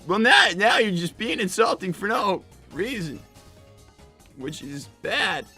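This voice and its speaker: A man's voice: high-pitched, sing-song, unhurried, sharp tone, husky, soft background music, heavily acted. A man voicing a flustered, defensive young cartoon fox boy with a cracking voice and a stammering, exasperated manner, in an improvised comedy livestream. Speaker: livestream co-host in character.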